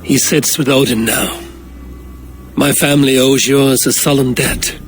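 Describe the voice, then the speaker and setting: An actor playing a blunt, self-assured Viking warrior in a video game drama. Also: male voice, medium pitch, steady tone, a little slow, a little hiss or hum, lightly acted. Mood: calm, reflective.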